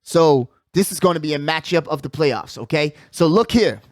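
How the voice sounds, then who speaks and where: A man with a fairly high voice hosts a casual sports show, recorded close and dry. He gives opinionated commentary in slangy, conversational language, often repeating a phrase for emphasis. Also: expressive, moderate pace, no echo. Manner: slangy, conversational address to listeners.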